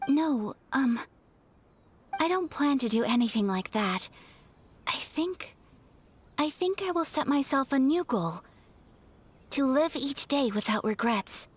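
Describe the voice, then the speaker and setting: A woman voicing a sweet, gentle young noblewoman in a video game, soft-spoken, formal and courteous. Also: sits high, lively intonation, slow pace, pauses often, husky, exaggerated voice. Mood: reflective.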